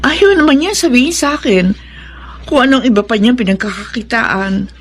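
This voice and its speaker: A woman's voice: on the high side, expressive, moderate pace, slight background noise, lightly acted. A woman playing a troubled, suspicious wife and mother in a radio drama, with a Filipino accent. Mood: uneasy, wondering.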